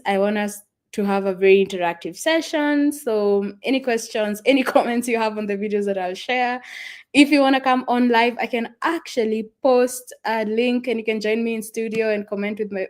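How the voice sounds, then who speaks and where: A young Kenyan woman with a Kenyan accent, whose first language is Swahili, chatting casually and rambling with fillers and soft laughs as she hosts a travel livestream from a friend's house. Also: on the high side. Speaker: travel vlogger hosting a livestream. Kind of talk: casual livestream chat with viewers.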